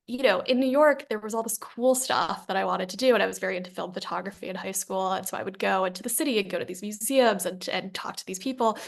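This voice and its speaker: A woman with a gravelly, chatty founder voice. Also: mid-range voice. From New Jersey, USA. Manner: chatty, animated, quotes her own past thoughts. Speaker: founder and CEO, guest. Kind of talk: conversational interview.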